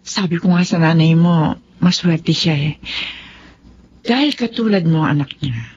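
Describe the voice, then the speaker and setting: A concerned middle-aged woman in a radio drama, giving motherly advice in a lowish voice. The recording is dull with a faint noise. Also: lively intonation, unhurried. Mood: concerned, advising. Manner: motherly, gently chiding. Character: caring, concerned older female family friend, gently advising. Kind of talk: Tagalog radio drama acting.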